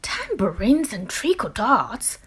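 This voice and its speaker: A woman voicing a loving, wistful old grandmother in a children's storybook, gentle, homely and expressive. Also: a little high, slow pace, husky, pitched above the performer's own voice, heavily acted. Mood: exclaiming.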